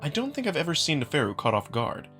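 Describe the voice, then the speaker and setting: A man's voice: a little high, wildly swooping pitch, quick pace, a bit bright, faint music behind. A man reading first-person narration from a visual novel in a dramatic storytelling style. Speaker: narrator and performer.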